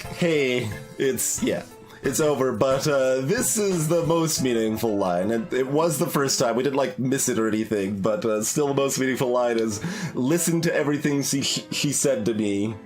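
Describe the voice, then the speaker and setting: A man's voice: mid-range voice, measured pace. A man giving casual playthrough commentary, thinking aloud in a hesitant, rambling, analytical way. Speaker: game streamer. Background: background game music.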